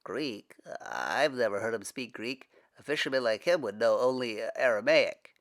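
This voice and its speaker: A man voicing a thoughtful young man who speaks quietly and reflectively in a storybook reading. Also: medium pitch, very animated, normal speed.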